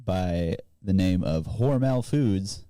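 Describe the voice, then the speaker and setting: A man's voice: low voice, expressive, slow, warm tone, no echo, studio quality. A man co-hosting a podcast, chatting casually and reading out light news items. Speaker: podcast co-host.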